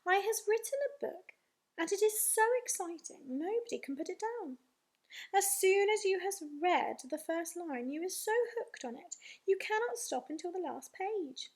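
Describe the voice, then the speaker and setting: A woman voicing an excitable, boastful young boy telling his dreams in wide-eyed, ungrammatical speech for a storybook. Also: very high voice, normal speed, voice pushed up. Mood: proud, excited.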